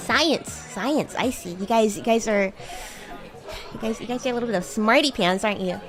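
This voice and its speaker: A woman's voice: fairly high pitch, moderate pace, music in the background. A woman streaming an improvised roleplay as a bratty, playful demon schoolgirl, chatting teasingly with her listener. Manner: teasing, giggly, addresses listener as human. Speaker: VTuber streamer in character, chatting with viewers. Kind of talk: improvised in-character roleplay livestream chat.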